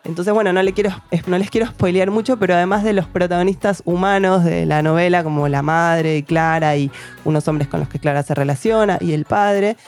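A woman's voice: lowish voice, brisk, darkish tone, faint music behind. A woman co-hosting a book podcast, talking in a thoughtful, hesitant way with many restarts. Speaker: podcast co-host reviewing books. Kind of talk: casual book chat.

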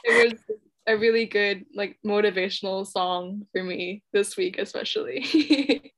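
Young woman from Hong Kong, Cantonese native, relaxed chatty interview guest who laughs easily. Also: medium pitch, a little slow, creaky. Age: young adult. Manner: chatty, laughs often, many fillers. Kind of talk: casual interview chat.

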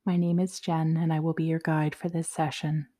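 A woman guiding a yoga nidra meditation in a soothing, measured, softly spoken way. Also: low voice, little pitch movement. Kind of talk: guided meditation, slow instructions.

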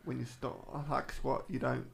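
A man in his late thirties with an English accent and a gravelly, creaky voice at a middling pitch. He chats informally as a guest, doubling up words to stress a point. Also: little pitch movement, normal speed, slight background noise. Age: late 30s. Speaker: podcast guest. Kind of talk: casual chat.

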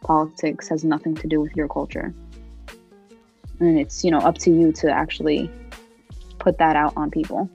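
A young woman with a low, husky voice speaks slowly and earnestly. She fills her answers with "you know" and keeps an even, steady tone. The recording sounds a little dull, with soft music underneath. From United States. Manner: thoughtful, earnest, many "you know" fillers. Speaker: interviewee, poet. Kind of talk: interview answers.

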